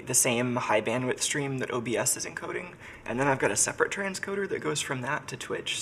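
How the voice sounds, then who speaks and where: A man's voice: medium pitch, creaky, sharp tone, slight background noise. Congested man rambling on a live stream. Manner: rambling, filler-heavy, thinking aloud. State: sick and congested. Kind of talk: casual live-stream chat. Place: home workshop.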